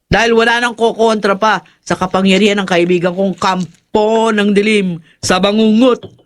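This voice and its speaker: A woman's voice: mid-range voice, steady tone, moderate pace, lightly acted. An actress playing a vengeful, scheming woman who commands a demon in a horror audio drama, wicked and theatrical. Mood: smug, cruel.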